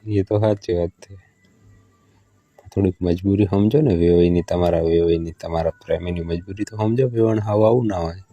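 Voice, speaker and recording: A man's voice: sits low, steady tone, moderate pace, dark tone, dry recording. A man with a Gujarati accent playing a hard-up village lover who stalls, placates and bargains in a casual phone conversation. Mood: pleading.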